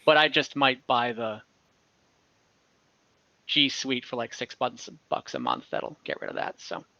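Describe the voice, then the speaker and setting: A man narrating as game master in an informal, halting way, chatting casually between scenes over a video call. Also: mid-range voice, wildly swooping pitch, vocal fry, sharp tone, dry recording. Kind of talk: narrating an online tabletop game, casual chat. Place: indoors at home, on a group video call. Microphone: computer or headset mic over video call. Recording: dull video call audio.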